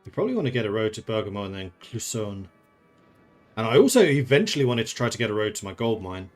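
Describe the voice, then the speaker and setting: A man's voice: lowish voice, very animated, quick pace, faint music behind. A man from the Netherlands who grew up in England, streaming a strategy game and thinking aloud in casual commentary, chatting with viewers and laughing often.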